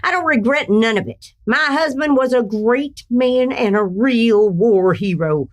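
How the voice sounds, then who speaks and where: Sly older western villainess, high voice, swooping pitch, theatrical, folksy, indignant. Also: female voice, lively intonation, unhurried. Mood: bitter defiance. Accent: Irish brogue, later Texan. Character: sly older woman outlaw mastermind posing as Irish churchgoer. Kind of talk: old-time western radio acting.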